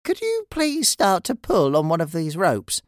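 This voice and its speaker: A man voicing an excitable, urgent male pirate crewmate in a children's story. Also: high-pitched, unhurried, no echo, studio quality, pitched above the performer's own voice, full character voice. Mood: eager, polite. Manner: exclamatory, urgent.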